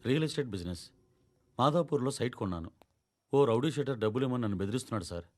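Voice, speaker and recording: A man's voice: medium pitch, expressive, very fast, natural voice. An actor playing an anxious businessman politely and hurriedly asking police for help in a film. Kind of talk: film dialogue.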